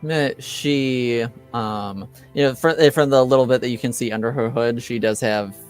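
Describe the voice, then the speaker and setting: A man narrating a tabletop roleplaying game as game master, improvising in a halting, hesitant way. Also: medium pitch, smooth, soft background music.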